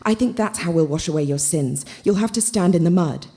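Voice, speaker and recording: A woman's voice: lowish voice, animated, fairly quick, dark tone. A woman voicing a bossy, zealous thirteen-year-old girl in a storybook reading, with a commanding, solemn manner.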